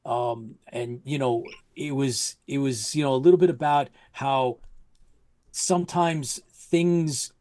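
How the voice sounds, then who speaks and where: A man hosts a casual live web show, wandering through his sentences with plenty of fillers and restarts. His voice sits at an ordinary middle pitch. The sound is dry and close, with no room echo. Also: steady tone, takes it slowly, dark tone. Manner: rambling, lots of fillers and restarts. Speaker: show host. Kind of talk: casual livestream chat.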